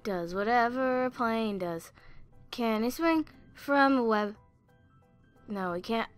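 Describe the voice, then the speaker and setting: A woman with a fairly high voice riffs playfully between takes. She takes her time, and the sound is dry with music underneath. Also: expressive, slow, smooth, dry recording. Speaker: amateur voice actor. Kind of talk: outtake chatter between scripted lines.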